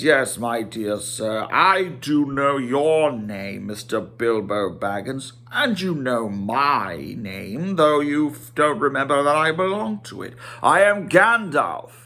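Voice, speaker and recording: A man performs an old storybook wizard, imposing and indignant, with a teasing edge. He declaims slowly and stretches key words, his pitch raised and lively. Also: heavily acted. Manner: emphatic, drawn-out, declamatory. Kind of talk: dramatic storybook reading.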